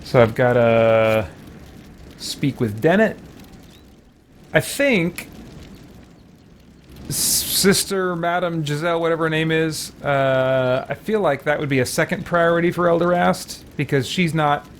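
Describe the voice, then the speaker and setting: A man giving casual let's play commentary, thinking aloud as he deliberates over a video game. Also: fairly high pitch, slight background noise. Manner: musing aloud, hesitant deliberation.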